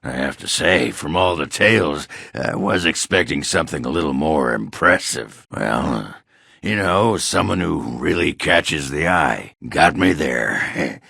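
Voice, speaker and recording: An actor voicing a crude, hard-drinking, middle-aged dwarf warrior in a video game, gruff and raspy, blunt and sardonic. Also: male voice, rumbling low, lively intonation, unhurried, pushed and bright, no echo, studio quality, exaggerated voice. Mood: mocking, boastful. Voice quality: gravelly, raspy. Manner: blunt, boastful, swearing, sardonic. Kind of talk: video game character dialogue.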